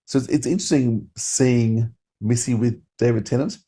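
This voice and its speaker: A man's voice: mid-range voice, moderate pace, mellow, husky. A man hosting a podcast, talking casually through a review. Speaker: podcast host. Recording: music playing behind.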